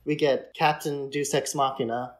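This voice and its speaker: A man with a mid-pitched voice hosts a casual podcast discussion. He explains things conversationally, as if talking a film through with a friend. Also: little pitch movement, normal speed. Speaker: podcast host.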